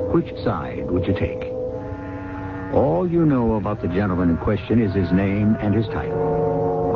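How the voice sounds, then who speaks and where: A man hosting a 1970s radio mystery show, narrating in a theatrical, questioning way straight to the listener. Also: mid-range voice. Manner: theatrical, rhetorical, addressing the listener. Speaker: radio drama host and narrator. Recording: dull broadcast recording under loud music.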